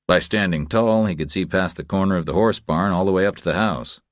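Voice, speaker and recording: Male audiobook narrator, very low voice, scripted thriller narration, dry close sound. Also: fairly quick, no room sound.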